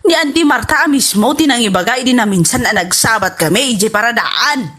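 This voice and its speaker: Spiteful Ilocano neighbor played by a woman, high, bright sharp voice, gossipy emphatic radio comedy delivery. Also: lively intonation, normal speed, slightly rough. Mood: gossipy, indignant.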